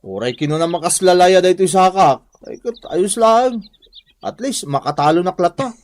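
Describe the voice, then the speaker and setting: An actor with an Ilocano accent playing a tipsy, grumbling village drinking buddy in a radio comedy drama. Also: male voice, sits high, expressive, normal speed. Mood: grumbling banter.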